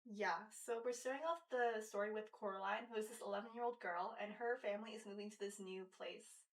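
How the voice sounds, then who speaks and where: A hoarse young woman co-hosting a podcast in a relaxed, conversational way. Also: medium pitch, brisk. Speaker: podcast co-host. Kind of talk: casual chat. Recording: distant mic.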